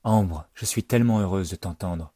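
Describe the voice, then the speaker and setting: A man voicing a tender, easily delighted mother who speaks softly and affectionately in an audiobook. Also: deep voice, moderate pace, slightly rough, no echo, clean studio sound. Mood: tender, wistful. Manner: soft, affectionate.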